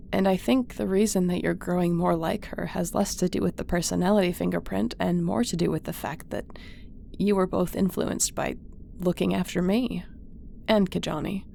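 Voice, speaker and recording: A woman playing a caring space captain in a sci-fi audio roleplay, affectionate and gently teasing. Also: mid-range voice, creaky, slight background noise.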